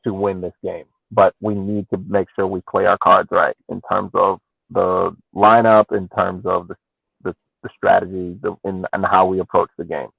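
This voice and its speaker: Gravelly guy talking sports over a thin phone-like connection, careful and measured. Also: low-pitched, little pitch movement, no room sound. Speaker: sports podcast panelist. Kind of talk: casual sports discussion. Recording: dull, lo-fi voice channel.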